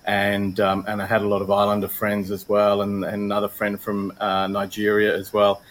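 A man's voice: fairly low pitch, completely flat delivery, normal speed. A man, a missionary guest, casually telling his family story in an interview. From born in Romania, grew up in Australia. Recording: faint background noise.

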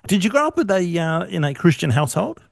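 A man's voice: sits high, big pitch swings, fast, vocal fry, no echo. Man, Australian accent, interview host, chatty, bouncy intonation, dry close sound. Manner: friendly, chatty questioning. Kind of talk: studio interview.

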